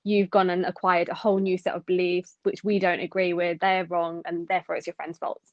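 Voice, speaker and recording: A woman's voice: fairly low pitch, flat delivery, brisk, no echo. A young woman from London co-hosting a podcast, thinking aloud in casual chat with lots of fillers. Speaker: podcast co-host. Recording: dull dry lo-fi sound.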